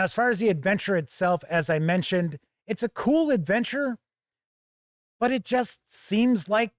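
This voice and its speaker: A man with a slightly high voice presents a product review in a conversational way. He is emphatic, leaning on key phrases for stress. The recording is close and dry, with little room sound. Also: no echo. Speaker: host of a tabletop game review channel.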